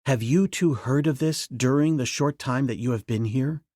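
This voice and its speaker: A man voicing a calm, grave jungle hero in a storybook reading, measured and steady. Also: medium pitch, no room sound, clean studio sound, natural voice.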